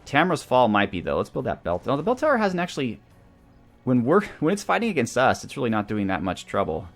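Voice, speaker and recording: A man's voice: medium pitch, huge pitch swings, quick pace. A man hosting a video game playthrough, talking casually and thinking aloud as he weighs his options. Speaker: host of a gaming series. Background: background music.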